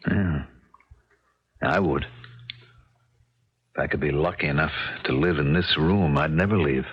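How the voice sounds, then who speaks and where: An actor playing an earnest, astonished ordinary American man in a 1970s radio drama, halting and emphatic as he searches for words. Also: rumbling low, husky, exaggerated voice. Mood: enchanted. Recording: muffled old 1970s radio recording.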